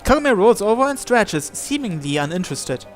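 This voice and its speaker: A man reading a visual novel aloud as dramatic first-person narration, in a theatrical, deliberate way with short phrases. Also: high voice, big pitch swings, soft background music.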